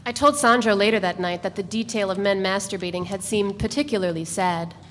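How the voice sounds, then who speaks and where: A woman narrates a novel at a live reading in a measured, steady voice. Her pitch sits in the middle range. A long room echo and a faint background hiss surround her. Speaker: narrator reader. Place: event space.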